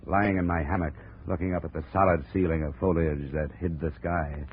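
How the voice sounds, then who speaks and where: A man's voice: low voice, natural voice. A man acting a tough, cynical investigator in a 1950s radio detective drama, speaking in a clipped, hard-boiled manner. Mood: grim narration. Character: tough, cynical insurance investigator leading a jungle manhunt. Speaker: narrator and lead actor. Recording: scratchy, narrow-band 1950s radio recording.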